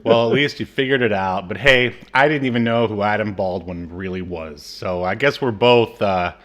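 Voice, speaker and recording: A man's voice: fairly low pitch, normal speed, fairly bright tone. A man in his thirties co-hosting a casual podcast, talking loosely with lots of fillers and restarts. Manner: rambling, many fillers and restarts. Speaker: podcast co-host. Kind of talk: casual chat.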